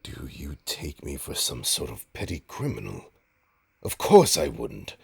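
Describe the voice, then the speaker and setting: A man playing a suave, smug supervillain in an audio roleplay, teasing his nemesis with a pompous, mock-polite drawl and dramatic pauses. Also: rumbling low, wildly swooping pitch, a little mellow, heavily acted. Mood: taunting, amused. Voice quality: gravelly, husky. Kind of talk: audio roleplay monologue addressed to listener.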